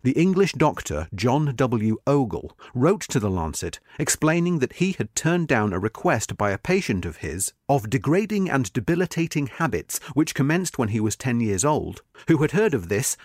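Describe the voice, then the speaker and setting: A man narrates a history article in a measured, expressive read. His intonation swings widely from phrase to phrase, stressing key words. The recording is dry and close, with no room sound. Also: mid-range voice, dry recording. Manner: measured, expressive reading with marked emphasis. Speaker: narrator reading a magazine article. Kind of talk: scripted narration.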